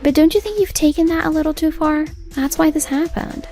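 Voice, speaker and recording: A woman's voice: high voice, a little fast, warm tone, soft background music. A woman voicing an earnest, assertive young female store manager in a comic dub, speaking emphatically. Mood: firm, challenging. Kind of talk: dubbed comic voice acting.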